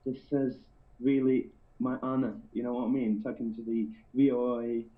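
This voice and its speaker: A man's voice: medium pitch, little pitch movement, normal speed. A man from Iran, a native Azerbaijani speaker, chatting casually as a caller, repeating words as he goes. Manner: repeats words, frequent "you know". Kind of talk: casual phone-in chat. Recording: thin, phone-like internet call.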